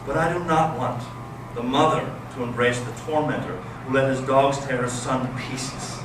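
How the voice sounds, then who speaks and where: A man's voice: medium pitch, steady tone, normal speed, over music, lightly acted. Man acting intense intellectual brother, echoey stage. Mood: impassioned. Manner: dramatic, swinging from hushed to impassioned. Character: Ivan, intense intellectual elder brother, anguished and mocking. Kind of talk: staged readers theater monologue and storytelling. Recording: echoey stage, distant mic, clear noise.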